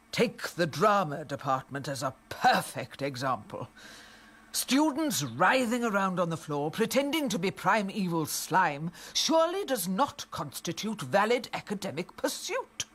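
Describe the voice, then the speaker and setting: A snobbish university dean in a radio comedy monologue. The voice sits high for a man and moves in lively swoops. It is self-important and pompous throughout. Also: measured pace, exaggerated voice. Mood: smug, disdainful. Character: pompous, snobbish academic dean dictating memoirs.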